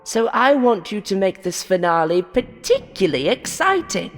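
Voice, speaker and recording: A woman voicing a monstrous game show host in a horror story, falsely friendly and hateful, with a taunting, showy, drawn-out delivery. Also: medium pitch, big pitch swings, long reverb tail, soft background music, voice pushed up. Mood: smug, commanding.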